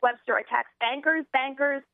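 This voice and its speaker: A young American woman giving earnest political talk as an activist guest, halting and restarting as she goes. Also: high voice, gravelly, dry recording. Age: young adult. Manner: rambling, halting with false starts. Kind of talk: earnest political talk, partly reading out a platform. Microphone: telephone. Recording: narrow tinny phone line.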